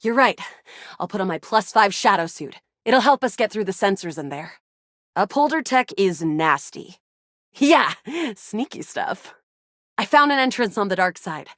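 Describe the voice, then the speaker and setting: A woman's voice: medium pitch, fairly bright tone, no echo, clean studio sound. A young woman voicing a living video game as a playful, eager, theatrical adventurer character. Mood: tough, conspiratorial. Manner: theatrical, emphatic.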